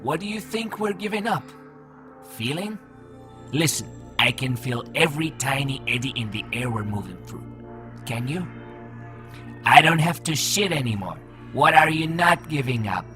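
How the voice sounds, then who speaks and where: A man's voice: medium pitch, animated, moderate pace, sharp tone, faint music behind. An actor playing a proud, teasing man made of nanomachine fog in a voiced comic, chatty and explanatory. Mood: breezy, teasing. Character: breezy, proud male human made of floating nanomachine fog. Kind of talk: voiced comic audio drama.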